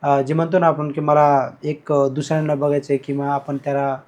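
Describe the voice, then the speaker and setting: A man from Bihar with a voice pitched a little above most men's, talking as a guest teacher. He explains things eagerly, breaking each idea down, and puts real emphasis on his points. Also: flat delivery, fairly quick, darkish tone. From Bihar, India. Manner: explains by breaking down verses, switches between Hindi and Marathi. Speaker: guest teacher, academy cofounder. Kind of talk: podcast conversation.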